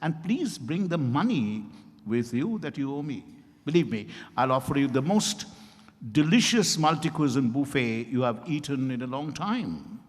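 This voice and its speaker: Male actor playing probing doctor, formal, insistent, theatrical stage drama, lively intonation, long hall reverb. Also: mid-range voice, normal speed, natural voice. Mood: formally courteous. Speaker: stage reader in a dramatized reading. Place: event hall at a hotel, live stage reading.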